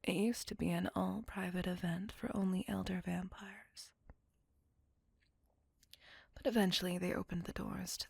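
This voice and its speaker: A woman voicing a lovesick, possessive vampire lady in an ASMR roleplay, courtly and formal, in a breathy whisper. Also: fairly low pitch, darkish tone, dry recording, exaggerated voice. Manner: formal, old-fashioned, courtly wording. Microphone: close microphone.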